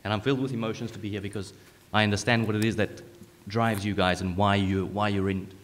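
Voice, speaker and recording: A man's voice: on the low side, flat delivery, quick pace. A man from South Africa who runs a co-working space, giving informal remarks from the audience at a conference. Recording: echoey hall with long reverb.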